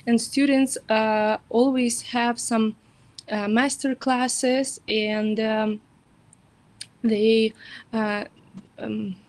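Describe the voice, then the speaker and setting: A woman with a somewhat high voice walks through study programs on a webinar. She speaks in English, fills her pauses with "uh" and "um" and restarts her sentences as she goes. The sound comes through a video call. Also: fairly even intonation, a little mellow. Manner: hesitant, many fillers and self-corrections. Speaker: university representative.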